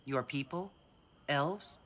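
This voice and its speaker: A woman voicing a composed, questioning warrior leader in a video game, measured and direct. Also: low-pitched, a bit bright. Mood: wary, curious.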